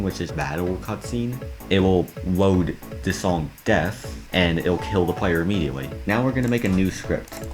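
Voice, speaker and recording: A man giving casual, amused and reactive gaming commentary, talking through what he does as it happens. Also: low voice. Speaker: video creator narrating a game modding experiment. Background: background music.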